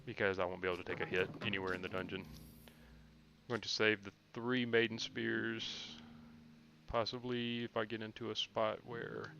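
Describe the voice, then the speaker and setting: A man's voice: lowish voice, level tone, moderate pace, pushed and bright. A man giving casual commentary on his own video game playthrough, often muttering asides to himself. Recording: clearly noisy background.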